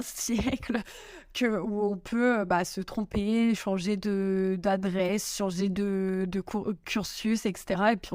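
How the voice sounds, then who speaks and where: Young woman guest, smooth voice, halting chatty answers, dry close sound. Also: mid-range voice, dry recording. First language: French. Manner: hesitant, many fillers and restarts. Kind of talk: casual interview answers. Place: home in Italy.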